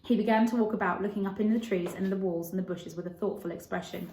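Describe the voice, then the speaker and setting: A woman reads a children's novel aloud as narrator. Her pitch is mid-range, and the room adds a clear echo to the recording. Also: steady tone, quick pace, mellow, slight background noise. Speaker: storybook reader. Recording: echoey room.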